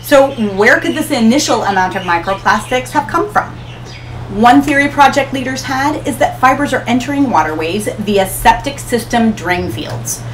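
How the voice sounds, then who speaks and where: A middle-aged woman hosting an ocean education channel, talking to camera in a small room with an upbeat, expressive manner. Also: fairly high pitch, moderate pace, a little hiss or hum, low-quality recording. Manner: upbeat, expressive, gestures while explaining. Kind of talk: scripted educational talk to camera. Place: small room in front of a hanging tapestry.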